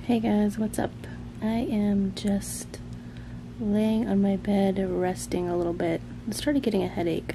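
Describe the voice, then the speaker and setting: A woman in her thirties vlogs casually from a bedroom, with a mid-pitched voice. She talks in an informal, self-deprecating way into a nearby camera, and faint room noise sits behind her. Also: vocal fry, warm tone. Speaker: vlogger. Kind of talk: casual vlog chat to camera. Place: small bedroom, indoors. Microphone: camera at arm's length or set nearby. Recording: noisy camera audio with room hum.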